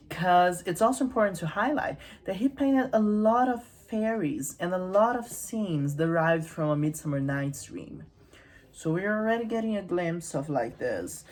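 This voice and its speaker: A woman hosting a casual, humorous literary commentary, with wry, irreverent asides in a conversational way. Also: lowish voice. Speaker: host of a literary channel.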